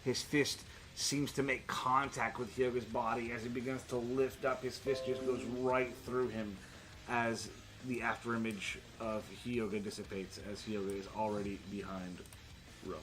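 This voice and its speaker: A man's voice: medium pitch, flat delivery, moderate pace, rough recording. A man acting as game master in a casual tabletop session, narrating and bantering with a joking, foul-mouthed manner.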